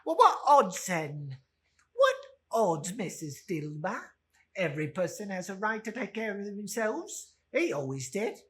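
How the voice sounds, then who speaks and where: A woman's voice: medium pitch, very animated, a little slow, husky, voice pushed up. A woman voicing a brazen, defiant working-class charwoman with a scolding manner in a dramatic storybook reading.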